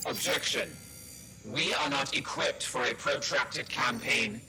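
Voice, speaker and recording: A man playing a dutiful officer on a sci-fi warship in a video game, formal and solemn, with a creaky voice. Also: medium pitch, a little slow, poor audio. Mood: measured. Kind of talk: dramatic video game voice acting. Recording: loud music under the voice.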